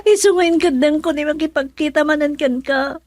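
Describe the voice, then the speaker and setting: A woman's voice: high voice, moderate pace, a little mellow, no echo, studio quality, natural voice. An actress in a radio drama playing a troubled, earnest young woman in love, speaking plaintively. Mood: worried.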